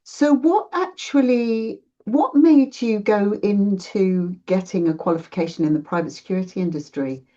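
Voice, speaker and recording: A woman's voice: medium pitch, moderate pace, few pauses, mellow. A woman hosting a podcast interview, encouraging her guest with short affirmations. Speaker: podcast host. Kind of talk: casual interview. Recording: dull lo-fi sound.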